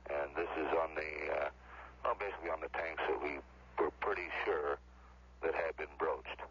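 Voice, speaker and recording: A man giving an unscripted, halting statement as a spokesman in a news clip. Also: a bass voice, steady tone, vocal fry, a bit bright. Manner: halting, hesitant. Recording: noisy, dull, humming phone-like news tape.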